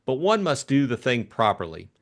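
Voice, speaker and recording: A man voicing a breezy, eager young upper-class gentleman in an audiobook mystery, with chummy, exclamatory, slangy talk. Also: medium pitch, sing-song, normal speed, creaky. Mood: triumphant, eager.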